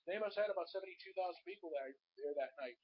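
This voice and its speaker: A hoarse man with a cold, chatting casually about sports to viewers on a webcam at home, rambling and addressing them as folks. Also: high-pitched, racing, a little hiss or hum. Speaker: sports vlogger. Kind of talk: casual sports commentary to camera. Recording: muffled webcam audio.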